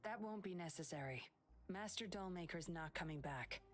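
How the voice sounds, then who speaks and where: A woman's voice: on the low side, lively intonation, normal speed, soft background music, close to an everyday voice. An actress playing a composed, commanding but caring woman in an English video game, giving clipped orders. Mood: stern. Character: cool, commanding red-haired woman, firm but caring.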